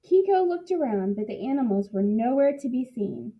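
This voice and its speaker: A woman narrating a picture book for children in an expressive read-aloud style, like a teacher in class. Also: a little high, normal speed, highs cut off.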